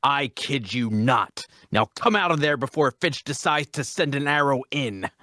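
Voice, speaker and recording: A man playing a skeptical, mocking lawman adventurer in a dramatic table read, questioning in a sardonic, deliberate way. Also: medium pitch, expressive, bright, forceful tone, dry recording, studio quality. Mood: stern.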